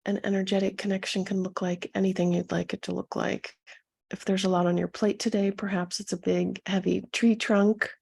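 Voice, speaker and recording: Husky, creaky woman guiding meditation. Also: medium pitch, flat delivery. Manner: soothing, gentle, unhurried instruction with pauses. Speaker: meditation coach and guide. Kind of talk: guided meditation, addressing listeners directly.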